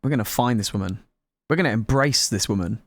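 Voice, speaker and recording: A woman giving casual, expressive, giggly playthrough commentary, reacting aloud as she plays. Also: extremely low pitch, fast talker, dry recording. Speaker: gaming content creator.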